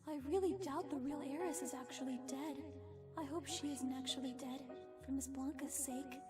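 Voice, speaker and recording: A woman playing a kind, sympathetic young female pony investigator in a cartoon, with a gentle, careful manner. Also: high-pitched, fairly even intonation, normal speed, close to an everyday voice. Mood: pensive. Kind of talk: animated cartoon acting. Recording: music behind the voice.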